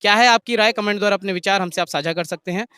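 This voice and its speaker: Brief: male online news host, high smooth voice, quick questions, dry close mic. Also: pushed and bright, no room sound. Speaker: news interviewer and channel host. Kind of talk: street news interview.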